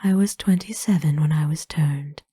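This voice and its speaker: A woman playing a kind, courtly, centuries-old vampire in an ASMR roleplay, speaking tenderly and formally in a breathy whisper. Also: low-pitched, warm tone, no echo, clean studio sound. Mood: curious, soft. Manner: formal, slow, tender, hesitant pauses. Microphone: close dry microphone.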